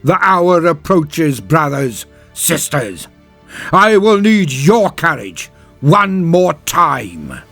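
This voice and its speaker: Male narrator as heroic sci-fi audiobook warrior, gravelly, widely swooping pitch, grand drawn-out rallying oratory. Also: big pitch swings, a bit bright, faint music behind, full character voice. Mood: solemn, rallying. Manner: grand, declamatory, drawn-out delivery. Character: valiant male space marine champion, solemn rousing battle orator. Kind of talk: dramatic audiobook character speech.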